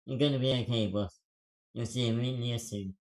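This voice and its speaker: A man reads a stubborn survivor ready to sacrifice himself in a zombie screenplay. His voice is soft-edged and mellow, at a middling pitch. The delivery stays terse and understated. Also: normal speed, lightly acted. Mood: determined. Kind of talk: screenplay table read.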